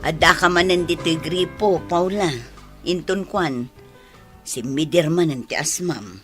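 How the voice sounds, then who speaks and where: A woman's voice: fairly low pitch, measured pace, over music, close to an everyday voice. Woman playing a kind village neighbor lady in a radio drama, concerned about folks. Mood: kindly. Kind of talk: Filipino radio drama acting.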